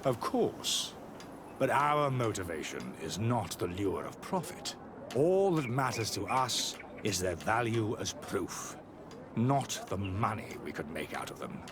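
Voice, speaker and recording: An actor playing a guarded, zealous missionary monk and scholar in a video game, speaking in a measured, dismissive way. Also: male voice, on the low side, very animated, noisy background. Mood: self-assured. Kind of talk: video game cutscene acting.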